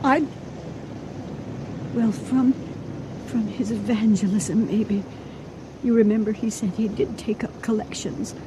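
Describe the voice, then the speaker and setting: An actress playing an anxious, doubting wife in an old-time radio thriller, hesitant and fretful in a dramatic style. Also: female voice, mid-range voice, steady tone, slow pace, long pauses, noisy background, lightly acted. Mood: doubtful, pleading. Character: anxious, doubting wife plotting to hide a corpse.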